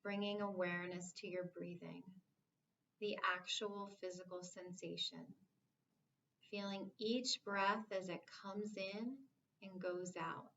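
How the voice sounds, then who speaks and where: A woman leading a guided meditation as an instructor, speaking in a calm, soothing, measured way with long pauses. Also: lowish voice, vocal fry, dull audio, a little hiss or hum.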